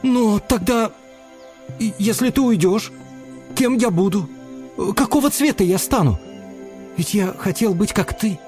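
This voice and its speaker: A man's voice: high-pitched, expressive, measured pace, pauses often, darkish tone, soft background music, pitched above the performer's own voice. A man voicing an earnest, naive little boy chameleon in a storybook reading, with a childlike, pleading manner. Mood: anxious pleading.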